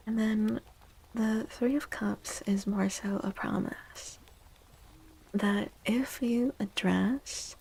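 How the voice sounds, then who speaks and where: A woman speaking softly and gently in a breathy near-whisper, chatting casually as an ASMR streamer giving readings. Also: medium pitch, rough recording. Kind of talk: soft-spoken ASMR, casual chat.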